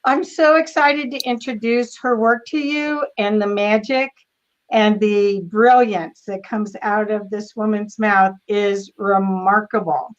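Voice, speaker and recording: A woman hosting over a video call, enthusiastic and halting as she introduces her guest. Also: medium pitch, steady tone, muffled recording, a little hiss or hum. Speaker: host. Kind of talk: casual video call chat.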